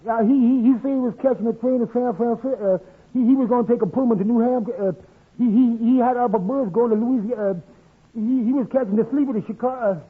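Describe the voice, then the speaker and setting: An actor playing an excitable, muddled little barber who stutters and trips over his own words in a 1940s radio sitcom. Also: quick pace. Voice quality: high-pitched, husky, stuttering. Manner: stumbles, contradicts himself in a fluster. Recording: tinny old radio recording.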